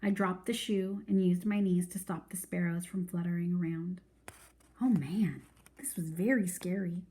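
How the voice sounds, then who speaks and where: A woman reading a story aloud with expressive narration. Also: on the low side, moderate pace, husky. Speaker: reader of a weekly read-aloud.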